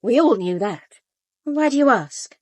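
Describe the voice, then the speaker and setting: A woman's voice: a little high, expressive, unhurried, darkish tone, no echo. An actress playing a brittle, bitter young upper-class British woman in a radio drama, with a clipped, caustic manner. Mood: guarded. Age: young adult.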